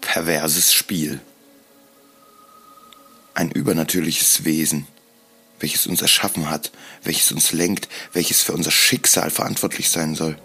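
Very deep gravelly man narrating over music. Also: fairly even intonation, a little slow, long pauses, bright, forceful tone. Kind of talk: scripted dramatic first-person narration.

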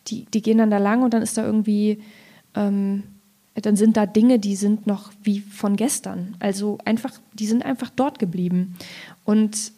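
A woman's voice: medium pitch, steady tone, normal speed, mellow. A woman from Thuringia, a writer, reflecting tentatively on her work in a literary discussion and searching for her words. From Thuringia, Germany. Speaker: poet and writer.

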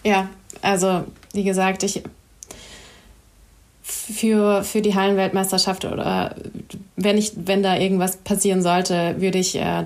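Young woman guest, flat gravelly voice, kinda low, careful answers. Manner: measured, careful, starts with "also". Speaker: guest athlete. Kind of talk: podcast interview answers.

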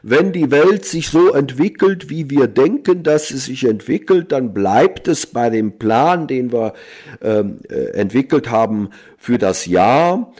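A man guest on a podcast, explaining his consulting work slowly and deliberately, with frequent fillers. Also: medium pitch, expressive, a little mellow. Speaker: guest, online marketing consultant.

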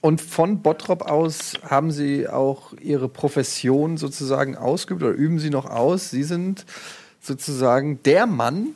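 A man's voice: a little high, a little slow, gravelly, a little mellow. A man hosting a mock interview, asking polite questions with a straight face.

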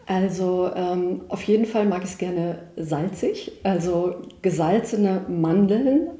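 A woman with a mellow, warm voice talks easily as a podcast guest, dropping little "ne?" tags and fillers as she goes. Her voice carries the echo of a roomy space. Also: lowish voice, slow. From Germany, of Sicilian parents. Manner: chatty, frequent "ne?" tags and fillers. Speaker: nutrition scientist guest. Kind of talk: casual podcast interview.

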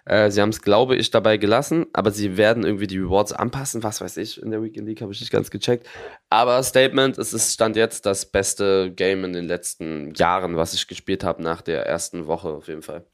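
A man's voice: lowish voice, fairly even intonation, brisk. Enthusiastic gamer man on a podcast. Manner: enthusiastic gamer talk, fillers and restarts. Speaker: podcast co-host. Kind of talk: casual podcast chat.

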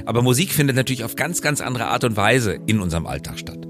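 A man's voice: mid-range voice, sing-song, quick pace, music in the background. A man hosting a podcast in a conversational style, with a wry, playful manner. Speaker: podcast host.

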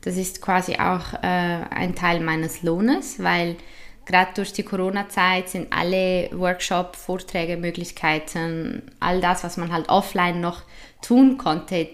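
A woman's voice: fairly low pitch, steady tone, slow. Woman, Swiss German accent, talkative podcast guest who laughs easily and hesitates with fillers. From Switzerland. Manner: chatty, laughs often, many fillers. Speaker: guest, influencer. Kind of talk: casual interview chat.

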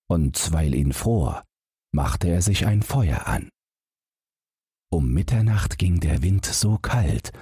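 A man's voice: low-pitched, warm tone, husky, no echo, clean studio sound. A man reading a fairy tale as a scripted bedtime audiobook narration.

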